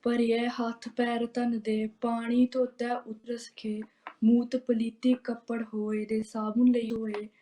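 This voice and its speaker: Soft, slow female scripture recitation, mellow. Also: on the high side, level tone, rough recording. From Italy. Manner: quiet, soft delivery. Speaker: student reciting a scripture verse.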